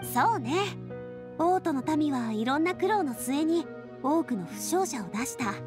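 A woman's voice: sits high, expressive, a little slow, music in the background. A woman voicing an elegant, kind young lady in a game, speaking in a poised, explanatory manner. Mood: thoughtful, kind. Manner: poised, explanatory, feminine speech. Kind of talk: game character acting.

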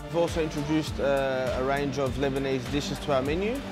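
Lowish-pitched man over music. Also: brisk. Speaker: bakery owner. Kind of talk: promotional testimonial. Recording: music behind the voice.

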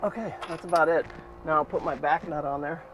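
A man's voice: on the high side, fairly even intonation, fairly quick, heavy background noise. A man in his fifties walking through a boat repair in a cramped engine room, talking plainly as he works. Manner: plain practical talk with fillers, thinking aloud while working. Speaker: boat owner vlogger. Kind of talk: hands-on repair walkthrough. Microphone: action camera mounted in the engine room. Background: running boat engine.

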